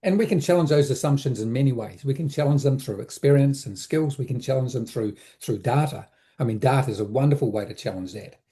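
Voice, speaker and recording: A man answering interview questions as an advisor, reflective and halting, often repeating words as he thinks aloud. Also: medium pitch, animated, dark tone. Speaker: interview guest, business advisor. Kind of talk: conversational explanation in an interview.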